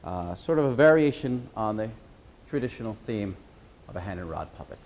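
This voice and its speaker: A man giving an informal explanation as a puppeteer presenting a show, pausing and hesitating as he goes. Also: lowish voice, sing-song, a little mellow.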